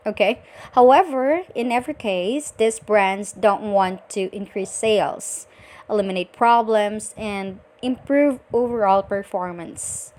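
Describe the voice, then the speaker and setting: A woman from the Philippines with a Filipino accent, speaking politely and deliberately as a virtual assistant on a scripted sales call. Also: medium pitch, darkish tone. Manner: polite, deliberate, frequent acknowledging murmurs.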